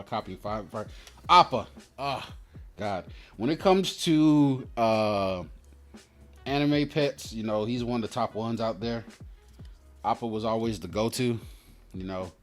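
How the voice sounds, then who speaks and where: A man hosting a card pack opening, rambling casually in a slangy, profane, opinionated way as he tells stories. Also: fairly low pitch. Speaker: host opening trading card packs. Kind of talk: casual rambling commentary and storytelling.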